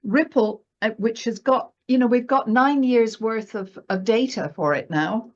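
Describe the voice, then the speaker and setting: A woman's voice: a little high, unhurried, dull audio. A woman speaking as a guest market analyst in a casual, chatty conversation, often checking for agreement with little tag questions.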